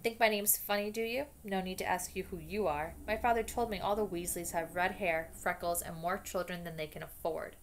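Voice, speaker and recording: A woman's voice: fairly low pitch, steady tone, a little fast, few pauses, slight background noise, close to an everyday voice. A woman voicing a snobbish, sneering schoolboy with a drawling, taunting manner. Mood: smug.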